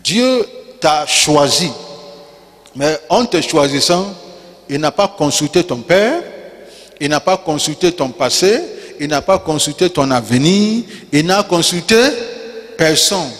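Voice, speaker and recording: A male pastor preaching a sermon in French, which is not his first language, with call-and-response lines, repeated key words and rising shouts. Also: fairly high pitch, expressive, takes it slowly. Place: church. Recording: long reverb of a church hall.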